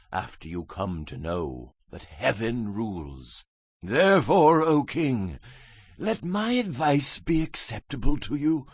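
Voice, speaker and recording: A man's voice: fairly low pitch, husky, dry recording, full character voice. A man playing an earnest, grave Hebrew prophet, solemn and oratorical, in a dramatized scripture reading.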